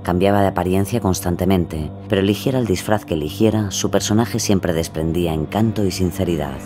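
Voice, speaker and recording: A man's voice: deep voice, a little fast. A man narrating a scripted, dramatic true-crime story. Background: music.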